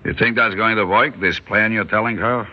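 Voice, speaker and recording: A man playing a weary, stubborn old New shopkeeper, wry and deliberate, in an old radio drama. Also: on the low side, fairly even intonation, a little hiss or hum, close to an everyday voice. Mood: wry, defiant. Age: older. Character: weary, stubborn old male delicatessen owner held hostage. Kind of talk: 1960s radio drama acting. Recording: dull old radio broadcast.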